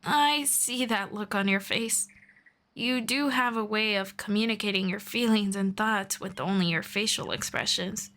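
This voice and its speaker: A woman voicing a gentle, patient female elf mentor in an ASMR roleplay, speaking softly and slowly and slipping into whispers. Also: medium pitch, natural voice. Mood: playful. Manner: soft-spoken, slow and patient, drifting into whispers. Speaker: voice actor.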